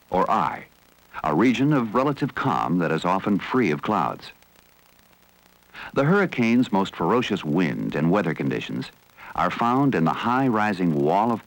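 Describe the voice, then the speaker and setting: An American man reading scripted educational film narration in a measured, formal, serious manner. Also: low voice, vocal fry, low-quality recording. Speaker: documentary narrator.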